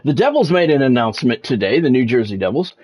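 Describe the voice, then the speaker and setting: A man with a medium-pitched voice talks casually as a livestream host running through sports updates. His delivery is halting, and he often doubles back to repeat a word or phrase for emphasis. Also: very animated, darkish tone, breathy edge. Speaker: livestream host, former radio play-by-play announcer.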